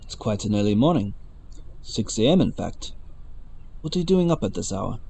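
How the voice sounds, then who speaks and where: Man playing a stern, gravelly ship captain. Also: fairly low pitch, sing-song, moderate pace, dark tone, a little hiss or hum. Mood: formal, civil. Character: stern, distrustful, principled male ship captain. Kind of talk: audio drama acting.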